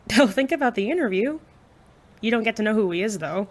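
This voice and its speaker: A woman's voice: fairly high pitch, animated, brisk. A woman playing a cynical, nerdy teenage secret superhero in an understated, naturalistic audio drama style. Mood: dry, teasing. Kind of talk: naturalistic audio drama acting with first-person narration.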